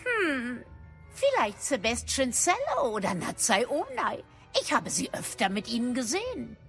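An actress playing a shrewd middle-aged female professor in a German audio drama, speaking formally and crisply with a mildly probing manner. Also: on the high side, huge pitch swings, measured pace, fairly bright tone, faint music behind, exaggerated voice. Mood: brisk, instructive.

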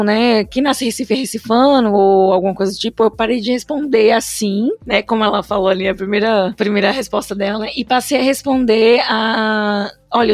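A woman's voice: a little high, no room sound. A young Brazilian woman with a northeastern accent tinged by São Paulo speech, chatting casually and rambling with many restarts and "né" tags. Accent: Brazilian Northeastern mixed with São Paulo. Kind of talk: casual conversation.

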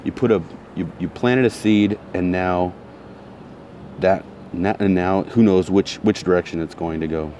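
A man's voice: low voice, unhurried, warm tone, dull audio, slight background noise. A man ranting in a casual monologue, blunt and heated, repeating himself, his throat still recovering from soreness. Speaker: vlogger.

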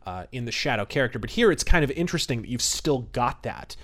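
Man, podcast host, medium pitch, casual history commentary, conversational, wry. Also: very animated, fast, a bit bright.

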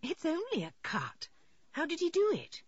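Woman voicing a practical, lively-toned mother. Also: extremely high pitch, moderate pace, no room sound, highs cut off, pitched above the performer's own voice, exaggerated voice. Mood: calm practicality. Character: practical, calm, no-nonsense mother of a girl. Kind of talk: audiobook character voice.

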